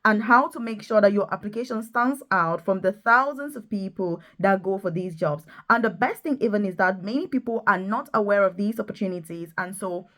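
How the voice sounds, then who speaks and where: A woman with a medium-pitched voice speaks directly to her viewers as a video host. Her delivery is enthusiastic and promotional. She leans on key words and repeats points, urging the listener along. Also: a little fast, hardly any pauses, a bit bright. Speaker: video host, nurse and career coach.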